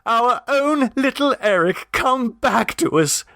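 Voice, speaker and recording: A man voicing a fussy, doting mother in a high crooning falsetto with gushing baby talk. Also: expressive, slow, creaky, a bit bright, no echo, clean studio sound, exaggerated voice. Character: fussy doting middle-class mother, shrill.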